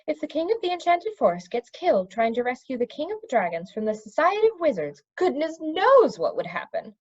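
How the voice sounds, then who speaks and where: Woman reading as a firm, high-voiced witch. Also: wildly swooping pitch, brisk, lo-fi sound. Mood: firm, disapproving. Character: firm, sensible, plain-spoken witch.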